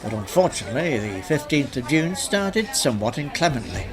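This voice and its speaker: Lively newsreel narrator, over music. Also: mid-range voice, brisk, crowd noise. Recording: music behind the voice.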